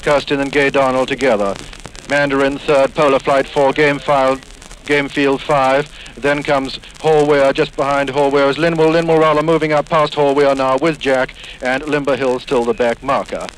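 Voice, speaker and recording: A man's voice: mid-range voice, level tone, normal speed. A British man giving formal, precise live horse race commentary in a 1950s broadcast style. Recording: noisy 1950s 78 rpm record.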